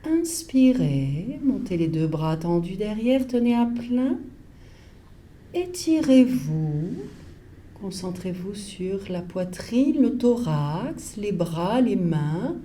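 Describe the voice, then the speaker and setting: A woman yoga teacher leading a guided relaxation with calm, measured instructions and pauses between short phrases. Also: mid-range voice, a little hiss or hum.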